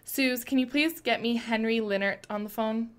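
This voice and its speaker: A woman's voice: on the high side, clean tone, lightly acted. Actress as a commanding, blunt woman investigator. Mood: decisive, blunt. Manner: brisk, probing, blunt. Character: determined, commanding woman leading an urgent investigation. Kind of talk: radio play acting.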